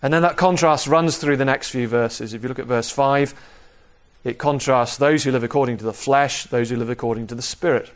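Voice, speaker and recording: A man preaching an expository sermon in a measured, explanatory manner, repeating key phrases for emphasis. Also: mid-range voice. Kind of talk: sermon, expository Bible teaching.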